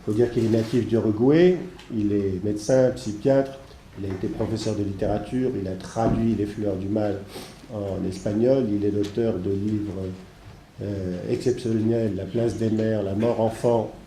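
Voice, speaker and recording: A man with a congested head cold gives a halting, digressive introduction as host of a seminar. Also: fairly low pitch, dark tone. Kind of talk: spoken introduction of a seminar, semi-improvised. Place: seminar room with an audience. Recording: echoey room with faint background noise.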